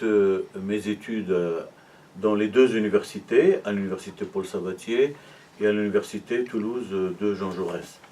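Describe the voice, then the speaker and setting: A middle-aged man from Morocco speaks in a lowish voice, explaining things patiently and often pausing to hesitate. He sounds a little distant from the microphone, and a faint ambient hiss runs underneath him. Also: a little mellow. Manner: measured, explanatory, many hesitations. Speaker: university arts and culture officer. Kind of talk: interview answers.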